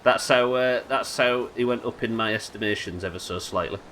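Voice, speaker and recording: A man with a nasal voice, a little hoarse from illness, chatting wryly and swearing casually while streaming a game live. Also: mid-range voice, fairly quick, bright, forceful tone, slight background noise. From United Kingdom. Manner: chatty, wry, swears casually, muttering asides. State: sick, slightly hoarse.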